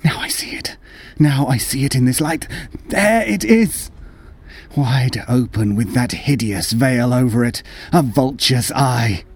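A man's voice: medium pitch, lively intonation, breathy edge. An actor playing a nervous, obsessive madman confessing a murderous plot, insistent and intense, in old-time radio horror style. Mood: menacing. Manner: insistent, intense, deliberate self-justifying delivery. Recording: long reverb, music behind.

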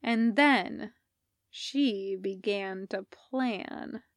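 A woman reading scripted fiction as a narrator, in a measured, deliberate storytelling manner. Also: mid-range voice, no room sound, studio quality. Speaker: podfic reader.

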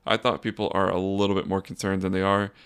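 A young man hosting a casual solo sports podcast, talking in a flat, monotone, rambling way. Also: low-pitched, quick pace, clear, resonant tone. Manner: monotone, says so himself; relaxed, rambling with fillers and restarts. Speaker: podcast host.

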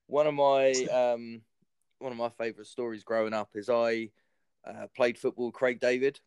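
A man's voice: mid-range voice, level tone, normal speed, darkish tone, clear, resonant tone, dry recording. A man hosting a podcast, chatting in an easygoing way, telling anecdotes and laughing along. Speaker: podcast host. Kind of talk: casual chat.

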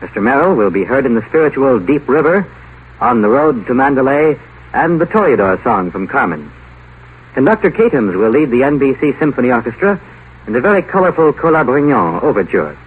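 A man's voice: mid-range voice, big pitch swings, a little fast, husky. An American man reading scripted announcements as a 1950s radio announcer. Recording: tinny 1950 radio broadcast.

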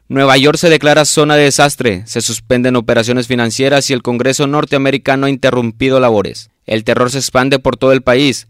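A man narrating a scripted radio drama in a solemn, measured announcing manner. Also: medium pitch, clear, resonant tone, dry recording, studio quality.

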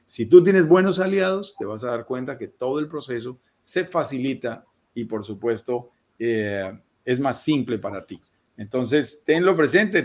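A man giving investment advice on a live video call, measured and persuasive, laying out his points as lists. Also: medium pitch. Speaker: real estate investment advisor. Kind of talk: explanatory live-stream talk.

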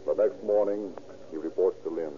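A man's voice: sits low, normal speed, husky. A man playing the dramatic narrator of a scripted 1930s radio crime story. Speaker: announcer-narrator. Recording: muffled old radio, music behind.

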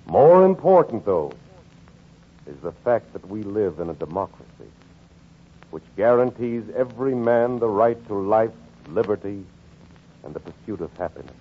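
A solemn rabbi pleads with a crowd in a husky voice, very slowly and emphatically. He declaims in 1940s radio style on a tinny old recording. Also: fairly low pitch. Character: solemn rabbi pleading for tolerance at a heated meeting. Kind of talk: 1940s radio acting, oratory.

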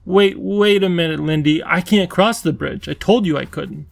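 Man doing a fussy old professor, all flustered, gravelly. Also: on the high side, normal speed, no echo, voice pushed up. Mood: anxious, refusing. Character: kindly fussy elderly male professor.

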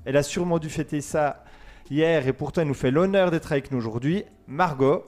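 A man's voice: a little high, a little fast, a little mellow, soft background music. Male host, light raised voice, playful banter with the panel. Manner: joking, chatty, teasing the guests. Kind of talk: casual student radio show hosting.

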